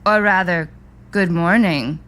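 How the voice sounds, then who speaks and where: An actress playing a tipsy, oddly formal woman in an audio drama, grandiose, deliberate and cryptic. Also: on the low side, a bit bright. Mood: reassuring, tipsy. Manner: grandiose, deliberate, theatrical phrasing.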